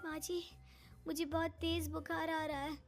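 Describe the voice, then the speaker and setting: A woman's voice: extremely high pitch, flat delivery, unhurried, faint music behind, voice pushed up. A woman voicing a young Indian daughter-in-law, hurt and indignant, scolding in a family story. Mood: weak, unwell. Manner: scolding, aggrieved.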